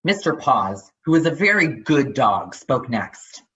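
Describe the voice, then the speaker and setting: A man with a middling pitch reads children's fiction as a narrator. It is a dramatic storytelling delivery, and the recording sounds a little dull and lo-fi. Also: big pitch swings, measured pace, fairly bright tone.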